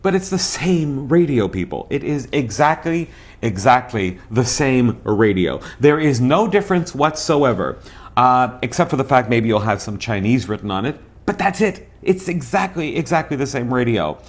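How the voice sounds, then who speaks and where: A man's voice: mid-range voice, sing-song, normal speed. A man hosting a product review in a casual, chatty way, often asking and answering his own questions and repeating words for emphasis. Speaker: host of a radio review show.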